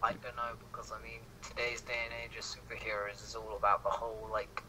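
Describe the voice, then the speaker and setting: A man's voice: lowish voice, little pitch movement, fast talker. A man chatting casually as a fan, rambling loosely with lots of "like" and some swearing. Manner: rambling, full of "like", often swearing. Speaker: co-commentator in a fan discussion. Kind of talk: casual fan chat about comic book films. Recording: noticeable background noise, amateur recording.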